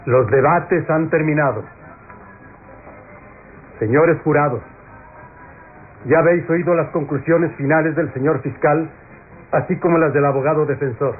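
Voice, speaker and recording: A solemn judge played by a male actor delivers formal, measured courtroom oratory in an old radio drama. His voice is rather high for a man, the sound is muffled and narrow, and faint music runs behind. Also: lightly acted. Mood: grave, formal. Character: solemn formal presiding judge addressing a jury.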